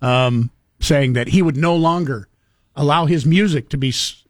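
A man hosting morning talk radio with a somewhat high voice. He rambles casually with fillers and laughs easily. The studio mic is close and dead dry. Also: moderate pace, no echo. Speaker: radio talk show host. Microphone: studio microphone.

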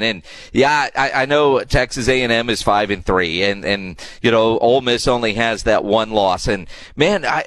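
A man hosts live sports talk radio. His voice sits at a middle pitch. The sound is dry, with little room around it, as he talks through the game with his guest. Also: a bit bright, dry recording. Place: broadcast booth at a stadium.

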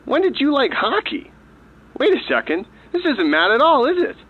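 A man's voice: very high voice, very animated, moderate pace. A man playing a casual, suspicious radio host heard on a phone call in a comic radio drama.

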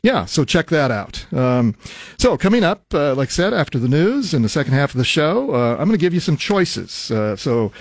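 Halting older American man hosting radio, dry sound. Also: fairly high pitch, sing-song, no echo. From Nebraska, United States. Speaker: radio talk show host. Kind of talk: casual radio monologue. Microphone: close studio microphone.

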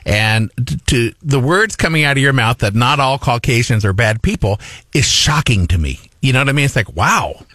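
A man's voice: mid-range voice, lively intonation, normal speed, fairly bright tone, dry recording, clean studio sound. Middle-aged man hosting radio talk. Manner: earnest, rambling with many restarts and fillers. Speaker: radio talk show host. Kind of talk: radio talk show interview. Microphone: studio microphone.

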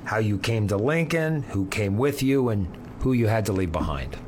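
A man hosting a radio show, asking his guest questions in a polished, measured way. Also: lowish voice, animated, darkish tone, slight background noise. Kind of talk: radio interview.

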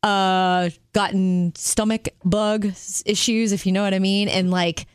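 A woman co-hosting a radio show, telling a story in an animated way and acting out the quotes. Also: mid-range voice, a little slow, clean tone, no room sound, studio quality. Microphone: studio microphone.

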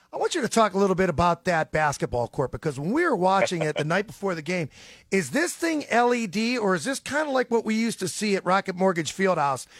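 A man's voice: high voice, dry recording, studio quality. A man hosting a sports radio show, chatting casually and putting questions to a guest.

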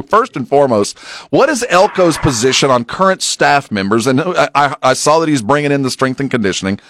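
A man's voice: mid-range voice, a bit bright. A man hosting a sports radio show, chatting casually and putting questions to a guest. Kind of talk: casual interview chat.

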